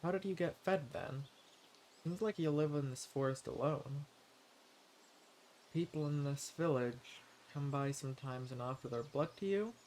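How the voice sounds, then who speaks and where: A man playing a friendly, eager adventurer and forager in a fantasy roleplay audio drama, speaking politely and earnestly with gentle hesitation. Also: a little high, measured pace, a little hiss or hum, lightly acted. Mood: curious, polite. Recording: clear outdoor nature ambience with birdsong. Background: forest ambience, birds.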